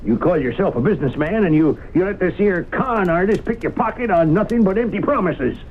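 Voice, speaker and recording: An actor playing a plain-spoken, skeptical small-town sheriff, folksy and blunt, in an old radio drama. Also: male voice, high-pitched, lively intonation, brisk. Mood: scornful. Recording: tinny, noisy old radio broadcast.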